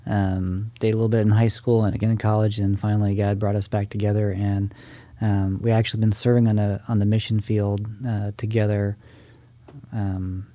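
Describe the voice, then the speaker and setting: A man from Indiana, a mission field director, speaking as a guest in a polite, measured way. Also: fairly low pitch, little pitch movement, warm tone, no echo. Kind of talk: interview guest.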